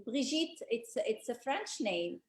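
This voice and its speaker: A woman moderating a conference panel, chatty and gracious, often pausing with fillers. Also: fairly high pitch, sing-song, roomy sound. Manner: warm, chatty, many fillers.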